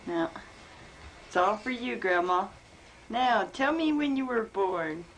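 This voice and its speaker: A woman's voice: mid-range voice, expressive, takes it slowly. A woman chatting casually and playfully with her family from behind a camcorder, coaxing them along. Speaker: family member filming her grandparents. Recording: noisy amateur camcorder audio.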